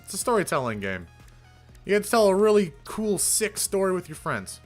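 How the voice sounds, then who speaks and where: Young man, casual streamer chat, deep-ish voice, expressive pitch, swears a lot, faint background music. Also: normal speed. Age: young adult. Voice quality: somewhat deep voice. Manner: rambling, swears casually, many fillers and restarts.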